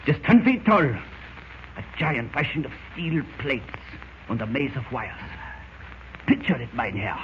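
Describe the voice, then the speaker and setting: A man acts out a cunning, grandiose German spymaster from an old radio serial. The German accent is formal and deliberate, and the pitch swoops dramatically from hushed menace to gloating. The recording is dull and scratchy, with audible noise. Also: animated, close to an everyday voice. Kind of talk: 1940s radio acting.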